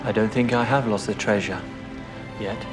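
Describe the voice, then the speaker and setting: An actor playing the earnest romantic hero of an action thriller film. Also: male voice, on the low side, fairly even intonation, moderate pace, lightly acted. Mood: defiant. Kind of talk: film acting. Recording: film clip under loud music. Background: loud music.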